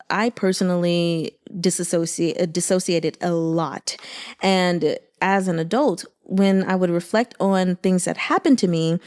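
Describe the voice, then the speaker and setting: A woman's voice: mid-range voice, darkish tone. A woman chatting informally and expressively about a book, in a casual commentary style full of asides.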